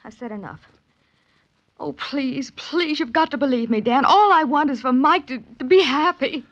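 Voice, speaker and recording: Lady acting high voiced defensive young woman, old radio drama, desperate, kinda muffled. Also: moderate pace, lightly acted. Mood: pleading. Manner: pleading, fierce. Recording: muffled 1940s radio broadcast.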